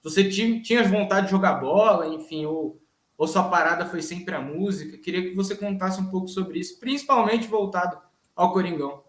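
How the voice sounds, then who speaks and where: A man hosting a podcast who asks interview questions in a conversational, slightly halting way. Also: high voice, reverberant. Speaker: podcast host.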